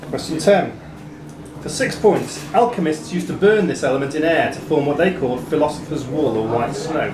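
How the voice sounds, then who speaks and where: A man reading quiz questions to players as quizmaster, in a measured, formal way with dry asides. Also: on the high side, noisy background. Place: pub room during a live quiz. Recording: echoey pub room, chatter behind.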